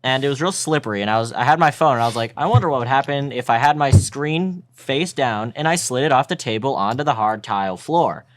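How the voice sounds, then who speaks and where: A young man chatting casually with friends as a podcast co-host, playful and enthusiastic in an animated way. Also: mid-range voice, a little fast, hardly any pauses, dry recording. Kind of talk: casual group chat.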